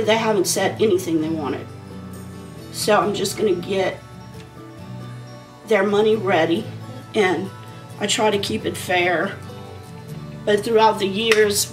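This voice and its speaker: A woman in her forties talks through her makeup in a loose, rambling way, as if chatting with a friend. Her voice is a little hoarse from a sinus problem. She sits a short distance from the mic, with faint music playing behind her. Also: medium pitch. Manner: rambling and conversational, talking while applying makeup. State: losing her voice from a sinus issue. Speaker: beauty vlogger. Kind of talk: casual chatty makeup tutorial.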